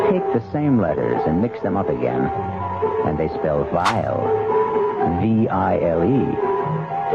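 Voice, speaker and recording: Deep, gravelly man hosting radio suspense. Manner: deliberate, portentous. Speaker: radio drama host and narrator. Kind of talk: scripted narration, old-time radio suspense. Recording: muffled 1970s radio recording. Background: loud music.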